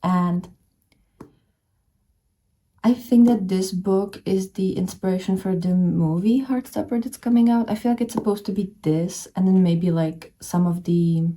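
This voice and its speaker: A woman's voice: mid-range voice, a little slow, dark tone. A young woman with a Czech accent, chatting in a book vlog, rambling with many fillers and restarts. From Czech Republic. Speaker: book vlogger. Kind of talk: casual reading vlog chat. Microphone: camera showing only her hands.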